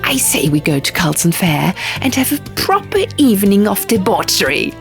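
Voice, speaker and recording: Lady doing a gleeful rebel girl in an audiobook, pitched up, loud teasing troublemaker. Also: big pitch swings, moderate pace, vocal fry. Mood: gleeful, goading. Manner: boisterous, teasing. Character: brash, rebellious young woman, gleeful troublemaker. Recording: music under the reading.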